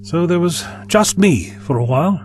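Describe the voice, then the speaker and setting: Male actor as brisk time-travelling adventurer, authoritative, animated intonation, audio drama, music underneath. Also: on the high side, lightly acted. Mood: chatty, reflective.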